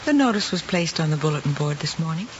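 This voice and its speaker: An actress playing a composed, gently probing woman teacher, measured and proper in an old screen drama. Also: deep voice, animated. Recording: dull, hissy old film soundtrack.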